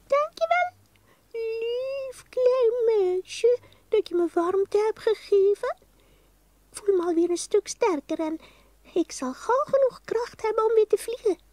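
A woman voicing a kindly, grateful swallow in a storybook, squeaky and sing-song. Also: animated, slow, mellow, no echo, full character voice.

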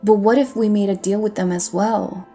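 A woman's voice: mid-range voice, steady tone, normal speed, mellow, soft background music, close to an everyday voice. A woman playing a bold, teasing pirate captain in an audio roleplay, speaking in a cocky, flirtatious manner. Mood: sly, businesslike. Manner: cocky, flirtatious, addressing listener with pet names.

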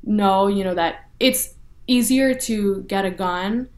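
A young woman from North Texas, speaking as an interview guest in a relaxed way with lots of "you know" and "like" fillers. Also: medium pitch, slow. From North Texas, USA. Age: young adult. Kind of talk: casual interview talk.

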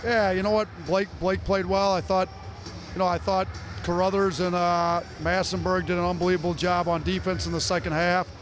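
A man in his thirties, a head basketball coach, answering post-game questions courtside in a steady, filler-heavy way into a handheld mic. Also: sits high, normal speed, faint music behind. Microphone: reporter's handheld mic.

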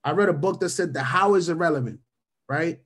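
A man's voice: on the high side, brisk. A man in his thirties, a native Spanish speaker from Venezuela, gives a motivational mentoring webinar over a video call. He repeats his key phrases and keeps checking in with listeners by asking "right?". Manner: ends almost every point with a questioning "right?". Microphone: webcam or headset on a video call.

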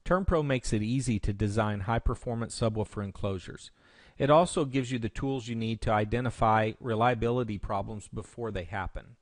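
A man presenting a software tutorial, explaining step by step in instructional screencast narration. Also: fairly low pitch, fairly quick, no room sound, studio quality.

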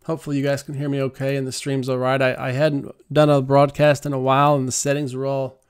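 A middle-aged man hosting a prayer livestream from his living room, speaking softly and earnestly into a studio mic, halting with many fillers. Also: medium pitch, level tone, clean tone. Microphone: large studio mic on arm.

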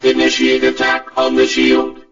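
A man's voice: mid-range voice, big pitch swings, a little slow, creaky, roomy sound, lo-fi sound, exaggerated voice. A man voicing a blunt, relentless combat robot in a video game, with clipped machine phrasing and robotic processing. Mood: mechanical, matter-of-fact. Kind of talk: video game character lines. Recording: band-limited game clip over loud music.

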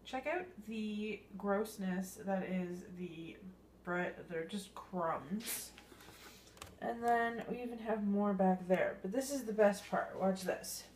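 A woman's voice: medium pitch, slow, a little mellow, a little hiss or hum. A woman in her thirties chatting casually in a home kitchen, recorded on a handheld phone, full of ums and small laughs. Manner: chatty, upbeat, filler-heavy. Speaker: vlogger. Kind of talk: casual clean-with-me vlog. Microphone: handheld phone camera.

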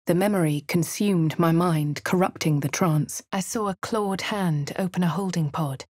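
A woman voicing a posh young female adventurer with an upper-class English accent, delivering crisp game lines and narration. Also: fairly low pitch, dry recording. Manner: crisp refined delivery, shouts spell incantations. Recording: dry studio voice booth.

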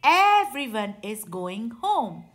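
A woman narrating a children's picture book aloud in a deliberate, expressive way. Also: on the high side. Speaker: children's storybook reader.

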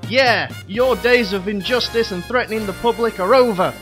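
An actor playing a put-upon, eager young superhero sidekick in a comedy audio drama. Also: male voice, sits high, moderate pace, over music, close to an everyday voice.

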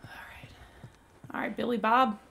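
A young woman with a raspy voice, excitedly commenting while playing a video game. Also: fairly high pitch, measured pace. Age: young adult. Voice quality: raspy from yelling. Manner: excitable, exclaiming at finds. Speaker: gaming YouTuber. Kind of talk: let's play commentary.

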